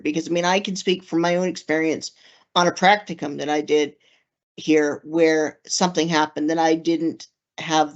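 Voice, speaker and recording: A woman chairing a committee meeting, diplomatic and gently redirecting speakers. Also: sits low. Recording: dull lo-fi meeting audio.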